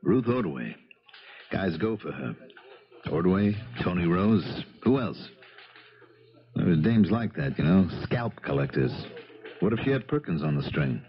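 An actor playing a shrewd, dogged police lieutenant in a 1970s radio mystery, probing and sardonic in a measured way. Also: male voice, low voice, sing-song. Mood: musing. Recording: dull, lo-fi 1970s radio broadcast.

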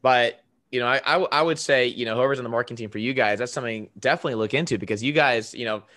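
Upbeat guy hosting a video call podcast, bright voice, desk mic, keeps saying right. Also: mid-range voice, rapid-fire. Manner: upbeat, salesy, lots of "right?" tags. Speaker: podcast host. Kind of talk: casual video-call interview. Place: home room with a framed poster.

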